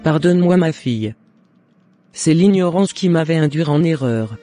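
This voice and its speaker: A man voicing a remorseful middle-aged mother in scripted cartoon dialogue, explaining herself in a measured, apologetic way. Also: fairly high pitch, animated, warm tone, soft background music, lightly acted.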